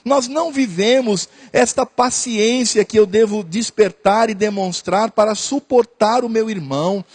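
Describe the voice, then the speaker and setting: A man preaching a sermon as pastor, repeating phrases earnestly and hesitating at times. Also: high voice.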